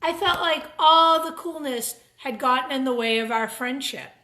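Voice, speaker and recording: A woman's voice: sits high, normal speed, bright, forceful tone. A woman reading a picture book aloud to children, expressively, with simple asides to her young listeners. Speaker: storytime reader, teacher. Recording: roomy, echoing room sound.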